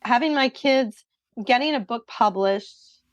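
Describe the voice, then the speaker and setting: A woman's voice: a little high, a little slow, dry recording, highs cut off. A middle-aged woman, a guest author, answering podcast interview questions in an earnest, thoughtful way.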